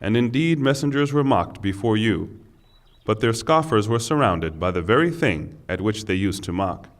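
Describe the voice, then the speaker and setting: A man reading a scripture translation aloud as narrator, in solemn, measured phrases. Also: lowish voice, expressive.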